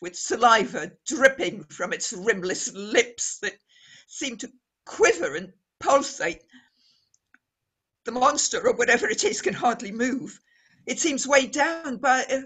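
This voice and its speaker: An actress playing a radio reporter in a radio play, describing the scene formally and dramatically, as if live on air. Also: female voice, on the high side, sharp tone, breathy edge, exaggerated voice. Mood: horrified awe. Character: woman radio reporter, breathless and awestruck at a scene. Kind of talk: radio play acting as live news commentary.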